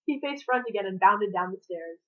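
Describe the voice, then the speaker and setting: A woman's voice: mid-range voice, expressive, fairly quick, narrow, tinny audio. A woman reading fiction aloud as a narrator, in the manner of an author reading her own novel. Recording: thin, band-limited home recording.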